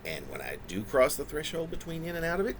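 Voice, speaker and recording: A man reading his own reflective, autobiographical poetry in halting, deliberate phrases that wind through long, wordy sentences. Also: a little high, huge pitch swings. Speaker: poet reading own work.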